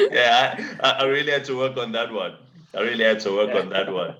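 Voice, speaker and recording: A man hosting a podcast in an upbeat way, introducing a guest and often repeating himself. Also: mid-range voice. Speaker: podcast host.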